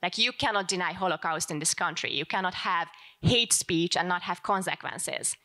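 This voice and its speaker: A woman's voice: on the low side, normal speed, bright, forceful tone. A woman from Hungary with a Hungarian accent, asking a long, earnest question from the audience at a panel event.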